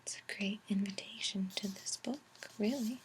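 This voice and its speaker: A woman speaking softly in a breathy near-whisper, gently and unhurriedly, as an ASMR host reading and chatting. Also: medium pitch, normal speed, background noise. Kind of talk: soft-spoken ASMR reading and chat. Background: page turning, tapping, clicks.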